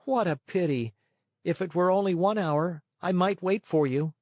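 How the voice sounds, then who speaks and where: A man's voice: sits high, normal speed, darkish tone, dry recording, clean studio sound, pitched above the performer's own voice. A man voicing a brash, carefree schoolboy in a storybook, cocky and urging his friend toward mischief. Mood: brash, dismissive. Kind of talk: storybook character voice.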